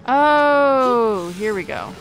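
A woman's voice: a little high, animated, very slow. Casual young woman gamer over game music. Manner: informal, chatty, thinking aloud. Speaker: gaming video creator. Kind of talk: casual game playthrough commentary.

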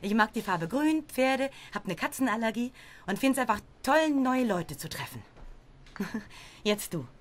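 A perky, over-friendly woman in her twenties played by an actress in a radio drama. She is eager, and her pitch swings in a very animated way. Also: unhurried. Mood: cheerful, eager. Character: chirpy, eager 25-year-old group organiser. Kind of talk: German radio drama acting.

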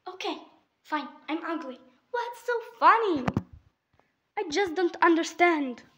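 A woman's voice: very high voice, lively intonation, unhurried, background noise. A young woman giving casual reaction commentary, talking back to a video in a teasing way, on a phone recording. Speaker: reactor to game voice lines. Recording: dull phone screen recording.

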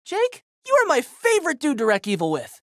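Casting: goofy teen boy adventurer for a fighting game, high voice, wildly animated, brash and big-hearted. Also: brisk, dry recording, clean studio sound, exaggerated voice. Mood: triumphant. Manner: exuberant, slangy, emphatic delivery. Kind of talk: video game character battle lines.

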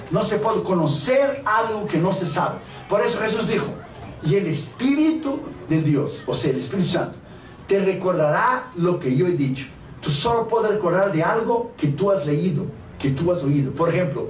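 A middle-aged man preaching a sermon as an evangelist, passionate and rising to loud cries of praise, calling his listeners brother. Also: high-pitched, slight background noise. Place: church hall with congregation. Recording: roomy hall miked from a distance.

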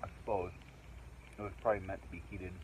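A man's voice: deep voice, level tone, quick pace, a little mellow. A middle-aged man vlogging alone while camping in the woods, chatty and thinking aloud with rambling asides, recorded on a phone outdoors. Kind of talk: casual solo camping vlog. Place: outdoors in a wooded island. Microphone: phone camera, handheld selfie or set down nearby. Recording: noisy outdoor phone audio. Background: birds and outdoor ambience.

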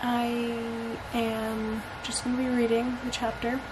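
A bubbly young woman in her twenties, with a somewhat high voice, chats casually about her day to a camera held close. She rambles with lots of fillers. A steady background hiss sits under her voice in a small bedroom. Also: measured pace. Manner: bubbly, chatty, many fillers. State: congested. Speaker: vlogger and college student. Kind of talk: casual vlog chat to camera. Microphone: camera at arm's length.

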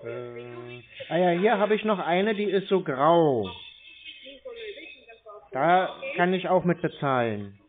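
A man's voice: on the high side, lively intonation, music in the background. Dawdling man playing dumb on the phone. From Germany. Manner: slow, dawdling, feigned confusion. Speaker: scam-baiting caller. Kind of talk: playing dumb on a prank call. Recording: tinny phone line.

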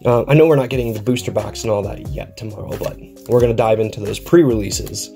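Young male vlogger with a head cold, darker, lower voice, rambling and friendly, faint music bed. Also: fast, warm tone. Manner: rambling and upbeat, with frequent ums and uhs. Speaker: card collecting channel host. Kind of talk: casual pack-opening vlog. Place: indoor room at a desk.

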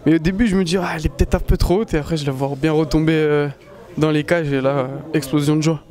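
Young footballer in a post-match interview. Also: male voice, a little high, steady tone, quick pace, a little mellow. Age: 20s. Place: small indoor press room. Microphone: handheld microphone held by interviewer.

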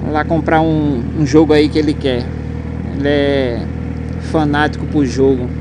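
A chatty man with a Recife accent rides a motorcycle and talks into a helmet mic, his voice sitting a touch high for a man. Loud wind and road traffic rush behind every word. He rambles in slangy street talk and swears casually. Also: steady tone, moderate pace. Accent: Recife Pernambuco Portuguese. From Recife, Northeast Brazil. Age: adult, has a nephew. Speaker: motorcycle vlogger. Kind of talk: casual chat while riding. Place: on a motorcycle on suburban roads outdoors. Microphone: helmet headset mic. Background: loud wind and traffic noise.

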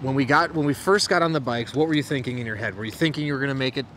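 A man's voice: medium pitch, rapid-fire, a bit bright. A man hosting an outdoor vlog, chatting casually and asking people questions. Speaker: host and interviewer. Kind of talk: casual vlog chat and interviewing. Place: outdoors at a rest stop by the beach. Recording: clear outdoor urban and vehicle noise.

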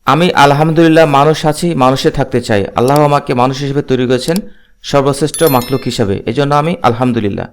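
A man's voice: medium pitch, fast. A man presenting a casual demo and asking questions.

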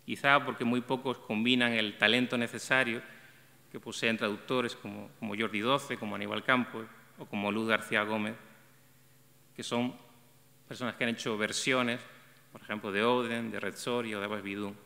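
A middle-aged man reading a prepared essay aloud at an arts center event, measured and reflective, with small restarts. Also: lowish voice, level tone, gravelly, bright, forceful tone. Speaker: event coordinator presenting a talk on translation. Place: arts center stage, seated at a table. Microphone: table microphone. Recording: long hall reverb.

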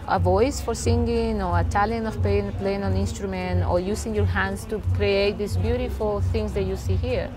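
A woman's voice: mid-range voice, steady tone, moderate pace, few pauses, music in the background. A middle-aged woman from Honduras with a Spanish accent, answering interview questions in a small studio in a thoughtful, reflective way. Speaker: guest singer and cultural promoter.

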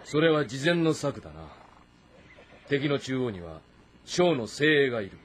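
Male role: shrewd army commander for a historical game, stern and authoritative, wide swings in pitch, ambient noise behind. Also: very animated, measured pace. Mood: stern, calculating. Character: stern, shrewd warlord commanding his army, instructing his son. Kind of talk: Japanese historical game drama.

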